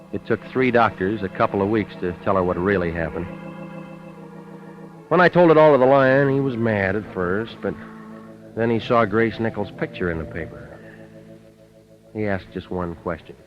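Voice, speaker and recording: A muffled old radio drama recording with music carries a low-voiced, hard-boiled private eye played by a man. He is tough and weary, with clipped, dry, wisecracking delivery in the manner of a 1940s detective show. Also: close to an everyday voice.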